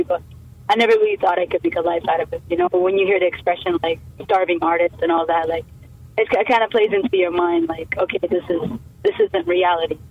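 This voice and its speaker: A woman's voice: on the low side, husky. A young woman from Canada, a musician, chatting casually in an interview, rambling with many fillers and restarts. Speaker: musician guest. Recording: tinny phone line.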